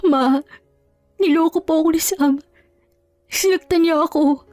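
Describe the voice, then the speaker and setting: A woman's voice: very high voice, unhurried, a little mellow, no echo, soft background music, voice pushed up. A woman voicing a proud, wronged young heroine in an audio drama, emotional and defiant. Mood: hurt, pleading.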